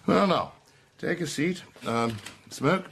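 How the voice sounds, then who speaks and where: Casting: fussy, disapproving British intelligence boss, animated clipped delivery, radio drama, faint noise behind. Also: male voice, on the high side, takes it slowly, creaky, exaggerated voice. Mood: brisk, prickly. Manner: blustering, hesitant, clipped military speech.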